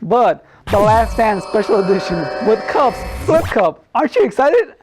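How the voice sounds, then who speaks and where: A man's voice: sits high, very animated, normal speed, over music. An American man hosting an unscripted talk show in a casual, informal way, often repeating himself.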